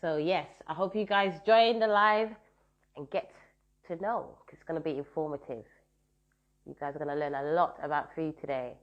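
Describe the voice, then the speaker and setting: A woman's voice: deep voice, moderate pace, muffled recording. A woman hosting a live-stream interview, effusive and full of compliments, speaking over a video call. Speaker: host.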